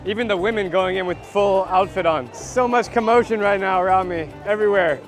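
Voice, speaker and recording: An American man in his thirties speaks as a travel vlogger in a fairly high voice. His delivery is informal and enthusiastic, and music plays clearly behind him. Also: brisk. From United States. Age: 30s. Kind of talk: casual travel vlog.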